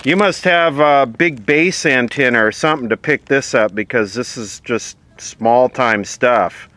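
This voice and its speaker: A man's voice: mid-range voice, a little slow, pushed and bright. An American man chatting casually outdoors in a plainspoken, wry way. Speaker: vlogger testing a vintage CB radio. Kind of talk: casual chat. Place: outdoors at a table. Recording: faint background noise.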